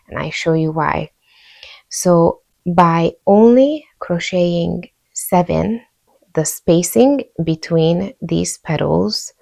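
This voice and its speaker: A woman with a Hungarian accent guides listeners through a craft tutorial. She speaks very slowly, in a soft-edged, mellow tone with a fairly low pitch. Her delivery is informal and easygoing, like a patient demonstration done step by step. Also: vocal fry. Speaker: host of a crochet tutorial channel.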